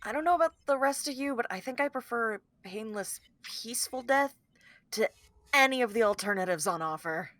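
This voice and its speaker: A fearful, sardonic crewwoman, acted by a woman in a dramatic table read. Her voice is a bit high, and she speaks with dry, bitter gloom. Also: lively intonation, fairly quick, a bit bright. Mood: resigned, uneasy. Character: bitter, fearful, sardonic female crew member.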